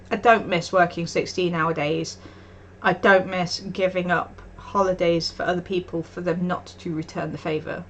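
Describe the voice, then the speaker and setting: A woman's voice: fairly low pitch, fairly bright tone, a little hiss or hum. A woman streaming live, chatting casually and telling stories with frequent laughs and acted-out quotes. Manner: chatty storytelling, often laughing, acting out quotes. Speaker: art streamer.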